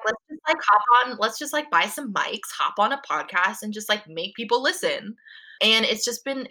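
Chatty young woman with a bright voice. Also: mid-range voice. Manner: chatty, frequent "like" and "um" fillers. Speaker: podcast guest. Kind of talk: casual interview chat.

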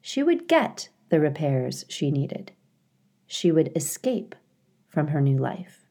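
A woman reading aloud as a storyteller, in an expressive style suited to a children's audiobook. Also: low voice, slow. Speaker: story reader, school staff.

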